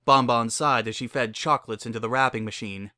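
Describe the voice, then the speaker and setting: A man narrates a story in a dramatic reading style. His voice is mid-pitched, bright and forceful, recorded dry without room sound. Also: brisk, dry recording.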